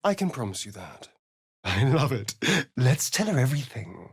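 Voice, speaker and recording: A man's voice: mid-range voice, very animated, moderate pace, husky, no echo, studio quality. A man playing a sly, centuries-old vampire spawn in a video game, arch, flamboyant and teasing, with precise enunciation. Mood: flirtatious, amused. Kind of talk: video game character voice lines and narration.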